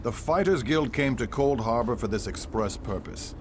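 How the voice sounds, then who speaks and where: A man's voice: medium pitch, animated, normal speed, close to an everyday voice. Resolute male warrior in noisy surroundings acted. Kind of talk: fantasy video game character dialogue.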